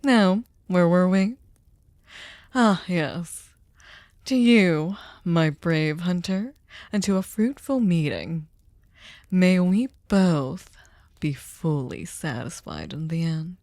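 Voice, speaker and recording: Woman playing a slow, mellow, seductive vampire lady. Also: lowish voice, animated, no room sound, studio quality. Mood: teasing, menacing. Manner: slow, silky, courtly and teasing, slipping into breathy whispers. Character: sadistic, seductive female vampire hostess, possessive and manipulative. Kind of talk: scripted ASMR roleplay, intimate dramatic monologue.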